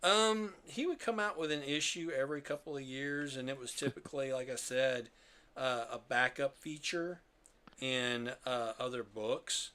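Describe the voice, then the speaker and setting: A man's voice: mid-range voice, moderate pace, sharp tone. An older man hosting a podcast, chatting casually through his show notes in a rambling, jokey, nerdy explainer way. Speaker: podcast host.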